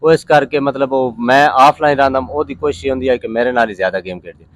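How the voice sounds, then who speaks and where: A man's voice: medium pitch, a little fast, no room sound. A man from Pakistan with a Punjabi accent, telling his story casually as an interview guest in a rambling, plain-spoken way.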